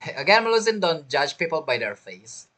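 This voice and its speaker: A man giving casual reaction commentary over a game as a streamer, blunt, jokey and halting. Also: on the high side, sing-song, sharp tone. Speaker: gaming streamer.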